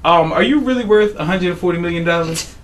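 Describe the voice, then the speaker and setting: A male radio host talks in a studio with a voice a touch higher than most men's. He jokes around and uses slang as he teases his guest with questions. Light background hum runs underneath. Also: fast talker, distant mic. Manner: joking, slangy, teasing questions. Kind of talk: casual radio interview.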